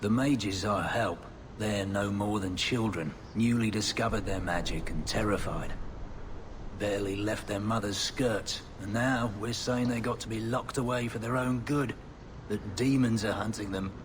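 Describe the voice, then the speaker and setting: An actor as a low, weary burned-out ex-Templar. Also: male voice, measured pace, noisy background, natural voice. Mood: defensive, grim. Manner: world-weary, sardonic, colloquial. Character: burned-out former Templar addict, gruff weary informant. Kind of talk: video game dialogue acting.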